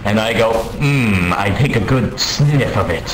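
A man spins a comic tale with pompous, mock-dramatic delivery over game voice chat. He speaks slowly, with big swings in pitch. The audio is roomy. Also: animated, background noise. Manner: pompous, drawn-out deliberate delivery, profane. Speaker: storyteller in a multiplayer game voice chat. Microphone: gaming voice chat microphone.

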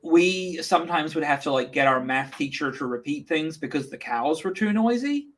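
A man in his thirties from New Zealand, a guest telling stories on a video call in a wry, deadpan way. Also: on the high side, moderate pace. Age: 30s. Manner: wry deadpan storytelling, many fillers. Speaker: guest comics creator. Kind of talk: casual interview chat. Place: home room, indoors. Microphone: video call webcam.

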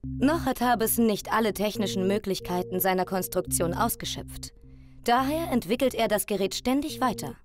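A woman's voice: mid-range voice, moderate pace. A woman reading a scripted documentary voice-over in pronunciation. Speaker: documentary narrator.

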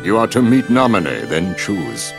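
A man's voice: low voice, very animated, normal speed, creaky, over music, full character voice. An older male actor playing a cryptic, commanding mastermind in a video game, grave and portentous. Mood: solemn, cryptic. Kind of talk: video game cutscene acting.

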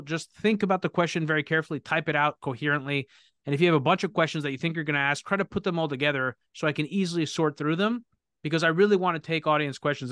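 A man's voice: on the high side, clean tone, dry recording, clean studio sound. A man hosting a live podcast Q&A, talking energetically and often restarting his sentences. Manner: energetic, self-correcting with restarts. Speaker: podcast host. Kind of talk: live Q&A conversation.

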